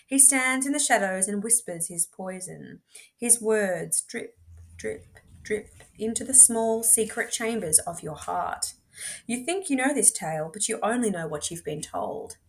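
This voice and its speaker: A woman reading a storybook aloud expressively, in a measured, dramatic way with deliberate pauses. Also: medium pitch, lively intonation, gravelly. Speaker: teacher reading books aloud.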